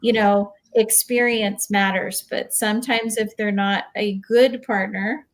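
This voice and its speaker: A woman's voice: medium pitch, fairly even intonation, measured pace. A woman talking informally and explaining things on a panel, with an earnest, advising manner. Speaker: real estate investor on a panel.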